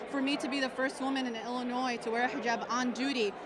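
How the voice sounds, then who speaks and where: A woman's voice: fairly high pitch, steady tone, fast. A woman from Chicago, a police officer, speaking earnestly and with emphasis in a red carpet interview. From United States, Chicago. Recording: loud crowd and street noise.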